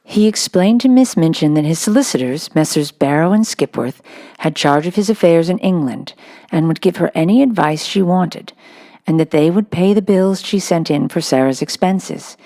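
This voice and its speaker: A woman's voice: lowish voice, lively intonation, normal speed, warm tone. A woman reading a children's novel aloud as scripted narration. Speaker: storybook reader.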